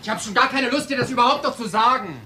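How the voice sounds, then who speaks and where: A man's voice: very high-pitched, quick pace, sharp tone. An actor playing an exasperated male police colleague in a German crime radio drama. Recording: radio drama with clear background noise.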